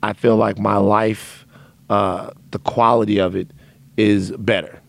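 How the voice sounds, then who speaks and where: A man with a low, gravelly, raspy voice and a dry throat hosts his podcast in a candid, rambling monologue. The sound is close and dry, with no room echo. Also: flat delivery, unhurried. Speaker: podcast host. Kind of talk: casual monologue to listeners.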